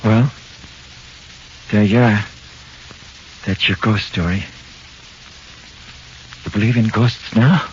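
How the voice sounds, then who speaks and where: An actor playing a weary condemned small-time crook with an American accent and slangy, resigned talk, in 1940s radio drama. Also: male voice, fairly low pitch, fairly even intonation, slow pace, long pauses, a little mellow. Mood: grimly knowing. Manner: slangy, resigned, plain-spoken. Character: weary condemned small-time crook, ghostly narrator of his own death. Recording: dull noisy 1940s radio recording.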